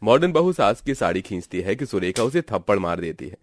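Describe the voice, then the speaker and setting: A man's voice: fairly low pitch, very animated, fairly quick, faint music behind. A man giving scripted narration for a Hindi cartoon family story.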